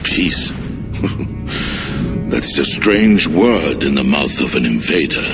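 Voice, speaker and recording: A gravelly, scornful king. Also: animated, exaggerated voice. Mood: scheming. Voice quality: gravelly and deep. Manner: slow, haughty and mocking, with scornful laughs. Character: scornful pious Frankish king, older man. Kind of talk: video game cutscene acting. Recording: game audio under loud music.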